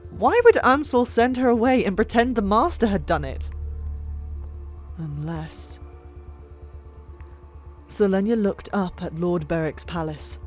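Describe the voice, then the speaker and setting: A woman reading a fantasy novel as an audiobook narrator, expressive and dramatic, with hushed asides and pauses. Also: fairly low pitch. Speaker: narrator of a fan-made audiobook. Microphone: old microphone. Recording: music bed, older microphone. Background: background music.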